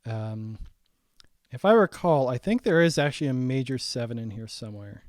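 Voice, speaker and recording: A young man walking through his own saxophone arrangement in a casual, halting way, full of ums and restarts, his voice getting raspy. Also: mid-range voice, animated, dry recording, clean studio sound. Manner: halting, many ums and restarts, thinking aloud. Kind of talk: casual screen-share breakdown.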